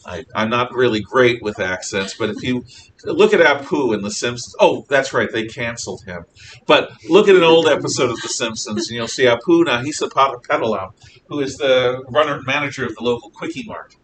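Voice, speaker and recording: A man lecturing a class as a history teacher, conversational, animated and emphatic in his explanations. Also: brisk. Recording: noisy rumbling room, distant mic.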